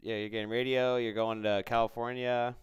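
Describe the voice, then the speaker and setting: A man's voice: mid-range voice, little pitch movement, fairly quick, background noise. An American man hosting a casual interview, chatting loosely and chiming in with encouraging reactions. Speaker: podcast host interviewer. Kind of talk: casual chat.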